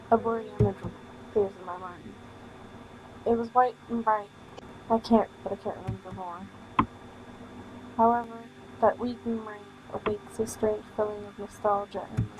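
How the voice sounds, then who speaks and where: A woman streaming a visual novel, reading the text aloud with halting delivery and casual commentary. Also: mid-range voice, noisy background. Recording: music playing behind the voice. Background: music.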